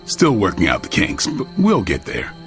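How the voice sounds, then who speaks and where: A gruff male fighter from a video game, sardonic and dryly teasing. His voice sits very low but moves around in lively swings. Faint music plays behind him. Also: normal speed. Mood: wry. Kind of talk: video game cutscene acting.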